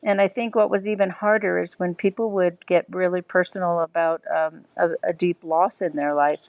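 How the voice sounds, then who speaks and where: A woman's voice: on the low side, fairly even intonation, normal speed, no echo, muffled recording. A middle-aged woman sharing thoughtful, hesitant reflections on friendship, with frequent ums. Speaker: listener commenting. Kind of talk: reflective personal commentary.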